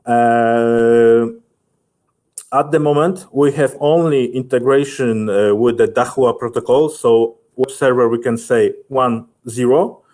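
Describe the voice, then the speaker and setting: A man presenting a technical webinar and answering questions in halting, hesitant English, often searching for words. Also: mid-range voice, fairly even intonation, a little mellow.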